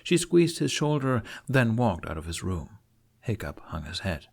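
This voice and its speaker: A man narrates a fantasy tale in a low voice. He reads scripted prose as an audiobook-style storyteller. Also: sing-song, measured pace, creaky, darkish tone. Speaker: narrator reading fan fiction aloud.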